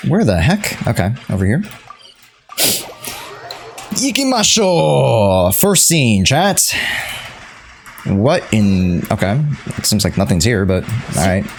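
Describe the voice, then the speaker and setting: A man giving casual, slangy gameplay commentary to a live chat, reacting out loud. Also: fairly low pitch, very animated, slow pace, gravelly, pauses often, slight background noise. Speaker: livestreaming gamer. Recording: game music behind the voice. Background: video game music.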